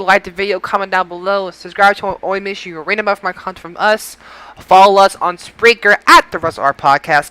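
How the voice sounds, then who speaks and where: Bright, clear young-sounding male podcast host. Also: high voice, no echo, rough recording. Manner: halting, repeats and restarts phrases. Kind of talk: casual podcast sign-off talk.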